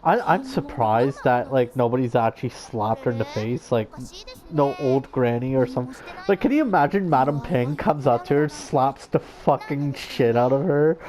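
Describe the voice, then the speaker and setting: A man's voice: mid-range voice, animated, moderate pace, darkish tone. A young man giving casual, rambling game commentary as a streamer, swearing freely and talking in slang. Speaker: gaming streamer.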